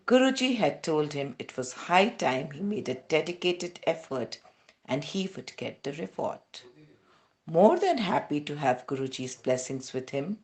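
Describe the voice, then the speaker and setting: A woman from India with an Indian accent reads a scripted devotional narrative aloud in measured, deliberate phrases. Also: deep voice. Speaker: reader of devotional testimonies.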